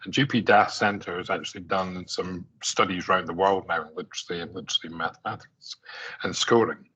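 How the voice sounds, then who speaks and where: A man's voice: low voice, steady tone, brisk, sharp tone, slightly rough, poor audio. A middle-aged man from Scotland with an Aberdeen accent, giving a conference presentation in a conversational way, with many fillers and restarts. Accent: Scottish, Aberdeen. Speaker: conference speaker.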